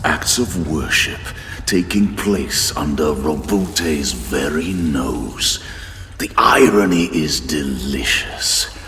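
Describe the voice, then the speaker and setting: An extremely low, gravel-throated warlord speaks slowly and grandly. He is a zealous, embittered demigod in an audio drama, echoing through a cavernous hall over prominent music. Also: animated, full character voice. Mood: savoring, intrigued. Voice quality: very deep, gravelly. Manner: grandiose, deliberate. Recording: echoey hall reverb, loud music behind.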